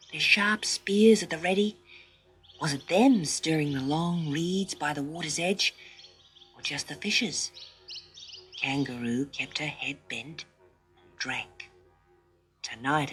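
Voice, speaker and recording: A woman reading a children's story as dramatic narration, expressive and full of suspense, often dropping to hushed tones. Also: sits low.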